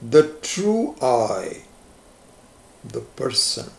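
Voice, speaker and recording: A man's voice: medium pitch, sing-song, very slow, creaky. A man whose first language is Hindi, teaching a scripture class and explaining ideas patiently, step by step, with everyday examples. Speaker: Vedanta teacher. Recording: echoey room.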